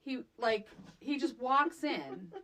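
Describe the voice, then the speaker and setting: A woman co-hosting a podcast, chatting casually about a movie in an animated, exclamatory, conversational way. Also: a little high, slow. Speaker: podcast co-host. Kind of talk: casual movie recap chat.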